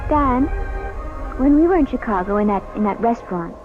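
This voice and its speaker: A woman's voice: on the high side, lively intonation, normal speed, phone-quality sound, close to an everyday voice. An actress playing a young newlywed woman in a radio drama, earnest and a little hesitant, with music underneath. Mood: uneasy. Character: young newlywed woman, trusting but growing uneasy. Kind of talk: 1970s radio drama acting.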